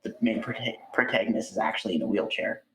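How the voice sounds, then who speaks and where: A man speaking as a guest in a casual interview, in a halting way that repeats words for emphasis. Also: fairly low pitch, expressive, a bit bright, a little hiss or hum. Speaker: interview guest, audiobook voice actor.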